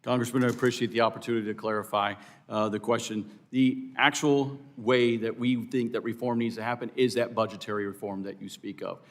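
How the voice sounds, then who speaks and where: A man's voice: mid-range voice, fairly even intonation, fairly quick, few pauses. Man giving formal, emphatic testimony. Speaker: air traffic controllers' representative, hearing witness. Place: hearing room.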